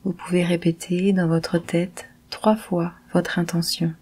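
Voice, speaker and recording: A woman guiding a yoga nidra meditation in a calm, measured, soothing manner. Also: fairly low pitch, husky. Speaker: meditation guide.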